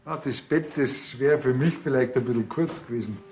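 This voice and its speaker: A man's voice: medium pitch, normal speed, a little hiss or hum, lightly acted. An actor playing an easygoing, slightly self-important Bavarian policeman, folksy and reassuring, in a TV crime comedy. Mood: reassuring, chatty. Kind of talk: television crime comedy acting.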